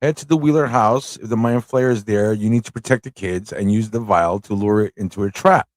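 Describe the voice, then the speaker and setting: A man's voice: fairly low pitch, brisk, a little mellow, no room sound. A man hosting a casual livestream, informal and playful as he thinks aloud and chats with viewers. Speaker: livestream host playing an interactive story.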